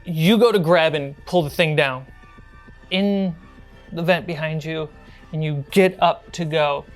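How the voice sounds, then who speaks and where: A woman running a tabletop horror role-playing game as game master, narrating conversationally with pauses mid-sentence. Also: low voice. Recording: background music behind the voice. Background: music.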